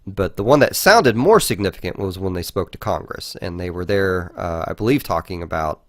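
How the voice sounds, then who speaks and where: A man with a fairly low voice co-hosts a casual podcast discussion. His talk is informal and peppered with profanity. The recording is dry, with very little room sound. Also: animated, brisk, no echo. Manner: frequently swearing, informal. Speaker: podcast co-host.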